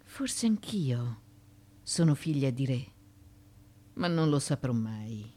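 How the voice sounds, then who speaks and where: A woman's voice: rumbling low, very animated, takes it slowly, dark tone, exaggerated voice. A woman acting in a radio drama as a proud, anguished former slave turned wife in ancient Athens, with an impassioned, theatrical delivery. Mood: bitter, reflective.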